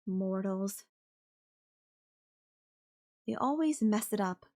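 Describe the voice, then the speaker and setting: A woman voicing a whimsical, flippant goddess in an ASMR roleplay, musing airily with long dramatic pauses. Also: mid-range voice, lively intonation, a little mellow, full character voice. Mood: wistful, detached. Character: whimsical, airy, flippant yandere goddess watching Earth die. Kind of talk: ASMR audio roleplay acting.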